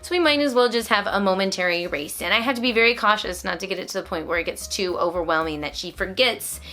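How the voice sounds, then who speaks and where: Bright-voiced woman explaining to parents. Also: medium pitch, fast. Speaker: homeschooling mother and vlog host. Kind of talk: explanatory vlog narration to parents.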